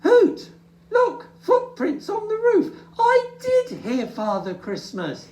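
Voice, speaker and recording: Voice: very high voice, huge pitch swings, slow, a little mellow, voice pushed up, full character voice. A storyteller voicing a small, earnest, easily tearful young male bear in a children's storybook, exclaiming and plaintive. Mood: excited. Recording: roomy, distant microphone.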